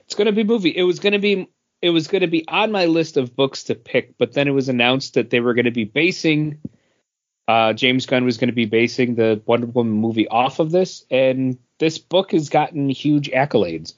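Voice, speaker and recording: A man's voice: medium pitch, brisk, muffled recording. A man co-hosting a casual comics podcast, talking conversationally and slipping into quoted asides. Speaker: podcast co-host.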